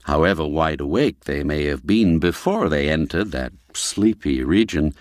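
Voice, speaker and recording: A man narrating a classic folk tale as scripted literary storytelling, in a measured, formal manner. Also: rumbling low, expressive, no room sound, studio quality.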